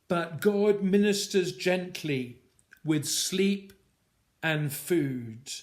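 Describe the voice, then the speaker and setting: A man with a slightly high-pitched voice recites a narrative scripture poem. He takes it very slowly, breaking it into short, weighted phrases with deliberate pauses between them. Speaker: poet reading own work. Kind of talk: dramatic poetry recitation of a Bible story.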